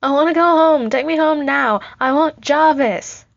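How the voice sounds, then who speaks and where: Young boy as voiced by a female narrator, storybook role, high, insistent, pleading and inquisitive. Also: animated, normal speed, vocal fry, no echo, lo-fi sound. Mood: screaming tantrum. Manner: pleading, insistent, childish questioning. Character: small frightened, demanding, inquisitive young boy.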